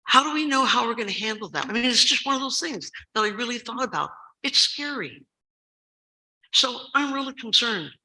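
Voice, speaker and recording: A woman in her seventies giving an urgent public comment at a school board meeting. She tells a story emphatically, repeats key words for stress, and has a loud, carrying voice. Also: on the high side, lively intonation, normal speed, low-quality recording. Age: 70s.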